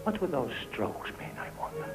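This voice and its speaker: An actor playing a gruff, fussing old sailor minding shipwrecked children, colloquial and scolding yet tender, in a classic film. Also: male voice, moderate pace, over loud music, lightly acted. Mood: troubled.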